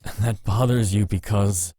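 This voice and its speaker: A man playing a gruff but protective and reassuring companion in a visual novel game. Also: fairly low pitch, fairly even intonation, normal speed, background noise, natural voice. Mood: blunt encouragement.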